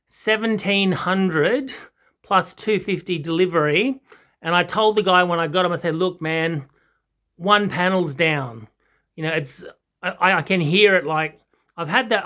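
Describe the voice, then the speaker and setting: An Australian man chatting casually and unscripted as an audio hobbyist, rambling and halting but enthusiastic. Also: high voice, bright, forceful tone, clean tone. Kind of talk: casual unscripted product chat. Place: small carpet-and-wood home listening room with foam panels.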